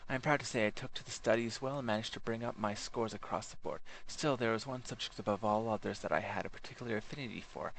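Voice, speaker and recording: A man's voice: fairly low pitch, fast talker, gravelly, hardly any pauses, fairly bright tone, slight background noise, poor audio. A man voicing a pompous, bookish alien intellectual narrating a florid, self-important memoir of his youth. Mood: self-satisfied. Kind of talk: first-person memoir narration.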